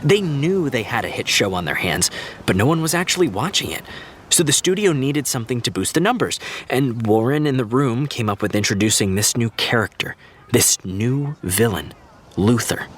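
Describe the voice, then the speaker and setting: An actor playing an easygoing, diplomatic TV writer who explains things in a reassuring, conversational way, in an audio drama. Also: male voice, medium pitch, big pitch swings, normal speed, slight background noise, natural voice. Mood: earnest explaining. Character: easygoing, diplomatic TV writer consoling a young fan. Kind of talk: naturalistic audio drama dialogue.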